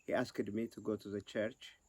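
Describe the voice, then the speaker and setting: A man's voice: on the low side, flat delivery, moderate pace, a little mellow, a little hiss or hum. A man from Ethiopia, a ministry director, giving an earnest interview testimony.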